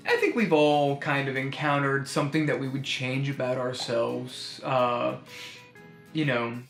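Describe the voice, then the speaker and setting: A man's voice: medium pitch, a bit bright. A man hosting a comedic storytime show, giving a rambling, wry, mock-serious monologue. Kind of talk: comic monologue reviewing a children's book. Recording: roomy echo, music underneath.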